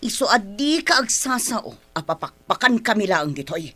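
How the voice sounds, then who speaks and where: A woman's voice: medium pitch, big pitch swings, moderate pace, sharp tone. A woman playing an embittered, scolding wife and mother in a radio drama, combative and nagging. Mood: bitter, scolding. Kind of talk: Ilocano radio drama acting.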